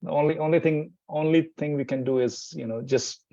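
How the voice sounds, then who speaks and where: A man's voice: on the high side, fairly even intonation, brisk, dark tone, dry recording, highs cut off. A man speaking as an interview guest, rambling with many restarts and fillers. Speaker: Hindi teacher, interview guest. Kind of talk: casual interview chat.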